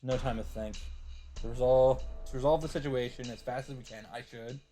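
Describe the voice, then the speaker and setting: A male let's play host with a medium-pitched voice. He reads visual novel text aloud in a dramatic narrating manner and drops in casual commentary. Faint music plays underneath. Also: steady tone, brisk, mellow.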